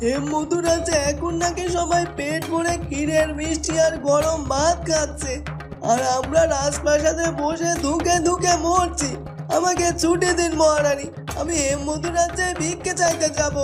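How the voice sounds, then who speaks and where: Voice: sits high, fairly even intonation, normal speed, over music, natural voice. A performer playing a timid, starving male royal servant in a cartoon, pleading and deferential.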